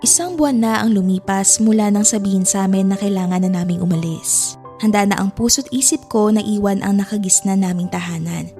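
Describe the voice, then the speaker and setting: A woman's voice: mid-range voice, dark tone. A woman narrating a scripted drama in the first person, reflective and sombre. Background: music.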